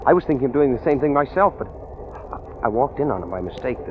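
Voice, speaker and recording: A man's voice: mid-range voice, big pitch swings, noisy background, natural voice. An American man playing an anxious fugitive in a 1940s radio thriller, confiding and nervous. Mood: nervous, pleading. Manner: nervous, halting when addressing others. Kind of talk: 1940s American radio thriller acting, first-person narration and dialogue. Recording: tinny old radio recording, music behind.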